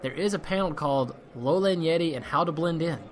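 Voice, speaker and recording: A man plays a wisecracking monster hunter in a fantasy audio comedy. His fairly high male voice tosses off sardonic lines with cocky ease. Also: a little fast, close to an everyday voice. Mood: breezy. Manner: breezy, sardonic banter.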